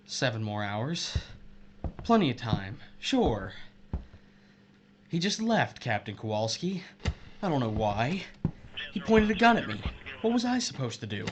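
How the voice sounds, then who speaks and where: A man's voice: mid-range voice, very animated, measured pace, pauses often, noisy background. An actor playing a bitter, vengeful missile officer betrayed by his wife, intense and accusatory, in an audio drama. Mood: muttering, rattled.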